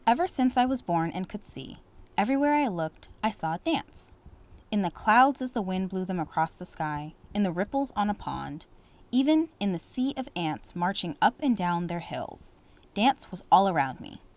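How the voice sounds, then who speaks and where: A woman reading a picture book aloud to children, pausing to ask and answer questions in a clear, teacherly way. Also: medium pitch, very animated. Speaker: reading tutor.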